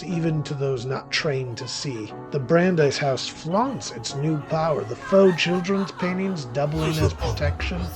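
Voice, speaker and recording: A man performs as a world-weary male witch telling his own horror story in an audio drama. He is wry and brooding, theatrical in delivery, with a mid-pitched voice. Music plays clearly behind him. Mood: ominous. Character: weary, cynical male witch hunting through a haunted house. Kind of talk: first-person audio drama narration, horror thriller.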